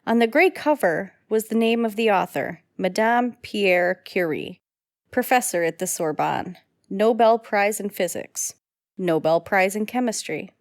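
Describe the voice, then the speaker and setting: A woman's voice: mid-range voice, no room sound, clean studio sound. An actress playing an earnest woman in an old-time radio drama, with careful, scripted phrasing. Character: woman storyteller and onlooker in a period drama.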